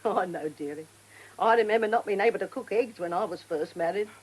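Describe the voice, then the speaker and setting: A woman's voice: mid-range voice, a little fast, husky, close to an everyday voice. An actress playing a kindly, bustling working-class English charwoman in a radio play, forthright and full of colloquial endearments. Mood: chatty, kindly. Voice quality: colloquial, ungrammatical speech peppered with endearments. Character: Mrs Bangs, chatty, kindly, lonely working-class charwoman.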